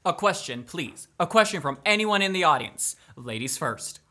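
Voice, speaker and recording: A man's voice: on the high side, very animated, moderate pace, sharp tone, heavily acted. A young man playing a showy, eager music-hall master of ceremonies in a radio play, grandiose and declamatory. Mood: polite, pressing. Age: young adult. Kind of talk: radio play stage announcing.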